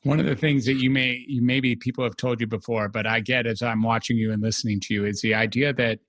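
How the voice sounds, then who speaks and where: Guy hosting a podcast, mid-pitch voice, big on praise, dry mic. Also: fairly even intonation, fast talker, no echo. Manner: warm, effusive, affirming. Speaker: podcast host. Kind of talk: conversational podcast interview.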